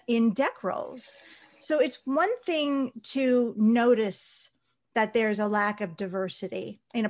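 A woman hosting a podcast interview, reading a measured scripted introduction and then asking questions. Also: medium pitch. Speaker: podcast host and editor.

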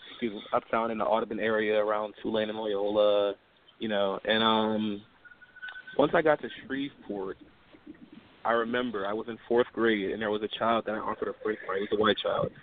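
A man, calling in and talking politely with many restarts and apologies. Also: fairly low pitch. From Louisiana, USA. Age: 20s. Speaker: caller. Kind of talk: casual call-in chat. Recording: tinny phone line with faint noise.

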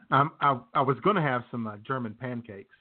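A man's voice: medium pitch, normal speed. A man hosting a radio show with casual, folksy, rambling comedic banter. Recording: tinny phone-like sound, faint noise.

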